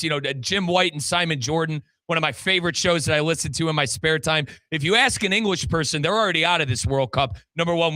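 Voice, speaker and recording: A man's voice: on the high side, sharp tone, no echo. A man hosting a casual sports talk show in a chummy, slangy manner. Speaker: sports radio host.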